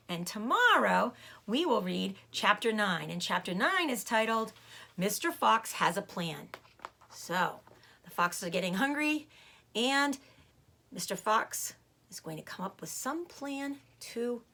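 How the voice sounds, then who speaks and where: A woman teacher reading a children's storybook aloud in an expressive way. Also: medium pitch, a little slow, creaky.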